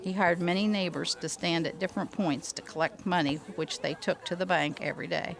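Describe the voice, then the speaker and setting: A woman's voice: on the low side, fairly even intonation, brisk, creaky. A woman acting as a rural eyewitness, narrating her recollections in an audio drama. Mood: reflective. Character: woman recalling rural crash aftermath as eyewitness. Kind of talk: scripted first-person narration.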